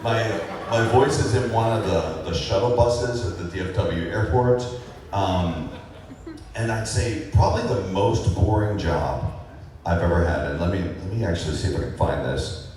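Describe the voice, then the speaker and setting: Deep-voiced man rambling in an echoey hall. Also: moderate pace, darkish tone. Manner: rambling, laid-back, many fillers and chuckles. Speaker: voice actor guest on a convention panel. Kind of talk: casual panel Q&A answers. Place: large convention hall. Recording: echoey hall recorded from far off.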